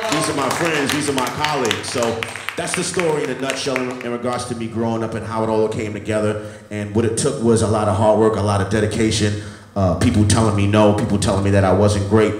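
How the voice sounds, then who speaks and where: A man's voice: lowish voice, flat delivery, fast, slight background noise. A man in his thirties, a music producer, telling his life story to a live audience with a repetitive, motivational cadence. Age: 30s. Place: event hall with an audience. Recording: echoey room.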